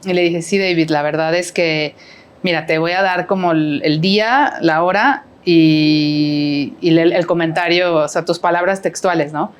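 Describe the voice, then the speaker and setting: A woman's voice: lowish voice, measured pace, smooth. A middle-aged woman with a Mexican accent, chatting as a podcast guest and rambling with many fillers and tag questions. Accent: Mexican Spanish. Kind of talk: casual conversational interview.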